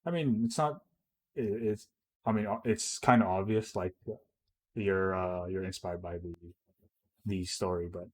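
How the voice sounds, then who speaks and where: A man co-hosting a casual chat, agreeable and quick with short affirmations. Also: sits low, fairly even intonation, a little mellow. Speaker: podcast co-host.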